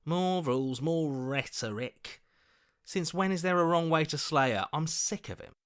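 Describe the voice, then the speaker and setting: An actor playing a cynical, distrustful inner voice in a video game, bitter and sarcastic. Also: male voice, a little high, lively intonation, a little slow, a bit bright, clear, resonant tone. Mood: sarcastic, indignant. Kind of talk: scripted game voice acting.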